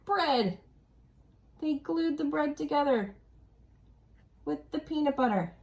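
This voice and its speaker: A woman's voice: high-pitched, slow, vocal fry. A woman reading a children's story aloud expressively, as an educator addressing young listeners. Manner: animated storytelling with explanatory asides and questions to young listeners. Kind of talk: expressive picture-book read-aloud.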